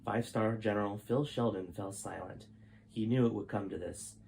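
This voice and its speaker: A man's voice: lowish voice, normal speed, creaky, a little mellow, a little hiss or hum. A man narrating his own novel in a plain reading voice, with occasional slips and restarts. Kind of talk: storybook narration.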